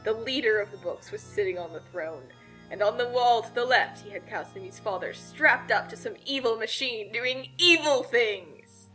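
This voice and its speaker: Female narrator for a comic adventure, bright and high-voiced, tongue-in-cheek and casual. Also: lively intonation, moderate pace, soft background music, low-quality recording. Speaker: storyteller. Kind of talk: animated comedic scripted narration.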